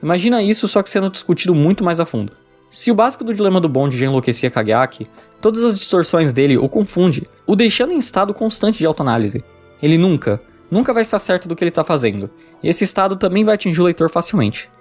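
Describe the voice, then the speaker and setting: A man's voice: high voice, sing-song, quick pace, faint music behind. A man with a Brazilian Portuguese accent reading an informal video essay script, conversationally addressing the viewer.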